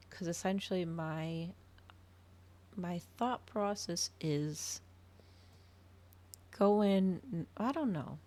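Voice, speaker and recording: Woman streamer with a low, creaky voice. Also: a little mellow. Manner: rambling, thinking aloud. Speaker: livestreamer. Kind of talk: casual chat to stream viewers.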